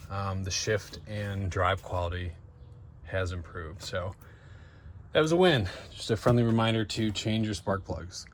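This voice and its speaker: A man's voice: on the low side, a little hiss or hum. A man giving a casual, informal hands-on narration to viewers, thinking aloud as he works on a vehicle. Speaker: DIY car vlogger and host. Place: in and around a van.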